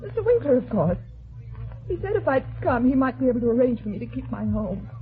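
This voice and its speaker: A woman's voice: a little high, very animated, fairly quick. A woman playing a frightened, pleading widow who stammers anxiously in a 1950s radio melodrama. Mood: nervous. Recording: tinny, narrow vintage radio recording.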